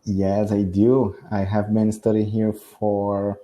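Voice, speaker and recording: Brazilian guy practicing english on a video call, slow and halting, really dark sound. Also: fairly low pitch, monotone, muffled recording. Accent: Brazilian Portuguese. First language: Portuguese. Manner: hesitant, restarts phrases, laughs often. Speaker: English learner. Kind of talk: casual online lesson chat.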